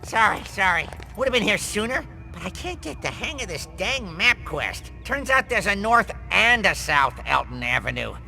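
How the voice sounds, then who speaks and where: Blustering cartoon cop, sensitive, chatty, gravelly edge, highish voice, extremely animated, music underneath. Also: male voice, normal speed, hardly any pauses, exaggerated voice. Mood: flustered. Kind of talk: animated game cutscene dialogue.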